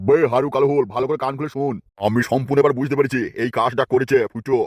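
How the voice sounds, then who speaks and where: Guy voicing gruff cartoon elders, crude insults, fast and dry. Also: medium pitch, little pitch movement, dry recording. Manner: blustering, scolding, crude insults.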